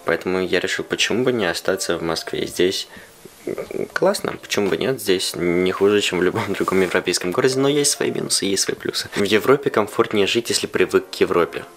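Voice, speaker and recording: A young man casually answering viewer questions in a relaxed, chatty way. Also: low voice, brisk, slight background noise. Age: young adult. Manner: relaxed, chatty, switches between Russian and English. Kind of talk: casual Q&A vlog talk. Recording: roomy echo with music behind.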